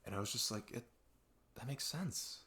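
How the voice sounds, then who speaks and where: A young man playing a best friend who opens up in an intimate ASMR deep talk, rambling and halting, earnest and reflective. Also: expressive, sharp tone. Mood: earnest, musing. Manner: rambling, halting, reflective, frequent "like" and "you know". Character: earnest male best friend opening up late at night. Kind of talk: intimate ASMR audio roleplay, unscripted-sounding deep talk.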